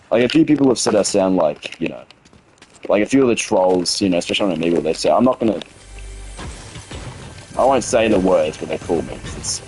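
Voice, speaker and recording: Young man, low voice, hoarse with a cold, gaming streamer, rambling, swears, restarts sentences. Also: a little mellow, poor audio. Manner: chats with viewers by name. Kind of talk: casual livestream gameplay commentary.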